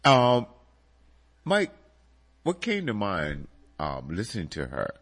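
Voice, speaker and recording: A man's voice: fairly low pitch, wildly swooping pitch, takes it slowly, fairly bright tone. A man hosting a casual music radio show, a bit congested and nasal, talking animatedly to his co-host and to listeners he calls folks.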